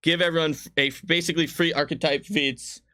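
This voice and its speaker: A man's voice: a little high, fairly even intonation, fast, sharp tone. A man casually explaining tabletop game rules in frank detail, as a designer on a livestream.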